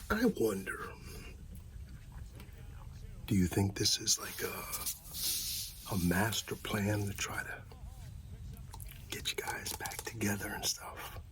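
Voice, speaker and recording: A man doing a casual ASMR roleplay as an easygoing, supportive friend, talking softly in a laid-back buddy way. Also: on the low side, takes it slowly, pauses often. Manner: soft-spoken, half-whispered, laid-back buddy talk.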